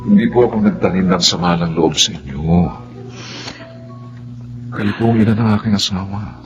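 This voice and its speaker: Humble young Filipino working man male actor, lowish earnest voice, radio drama with clear music bed. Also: normal speed, dull audio, lightly acted. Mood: apologetic. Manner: respectful, earnest. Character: humble, hardworking young dock labourer and son-in-law. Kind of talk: Tagalog radio drama acting. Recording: music under the voice.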